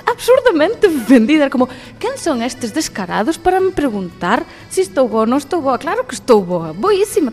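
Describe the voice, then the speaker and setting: Galician woman, twenties, lively and laughing, faint music bed. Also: high-pitched. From Galicia, Spain. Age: 20s. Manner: lively, laughs often, mixes Portuguese and Galician. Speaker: guest. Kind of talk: radio testimony.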